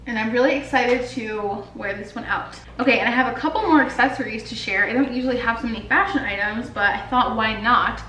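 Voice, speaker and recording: A woman's voice: a little high, a bit bright. A young woman in her twenties chatting casually and upbeat as she shows off items in a vlog, with lots of "like" fillers. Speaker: lifestyle vlogger and artist. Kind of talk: casual show-and-tell. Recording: echoey room with faint hiss.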